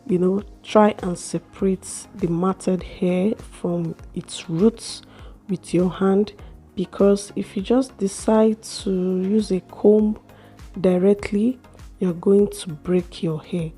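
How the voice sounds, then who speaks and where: A young woman with a slightly hoarse voice, chatting slowly through a hair care vlog in a small room. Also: mid-range voice, steady tone, warm tone, soft background music. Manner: slow, chatty explaining with fillers. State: a little hoarse from a cold. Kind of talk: casual how-to vlog.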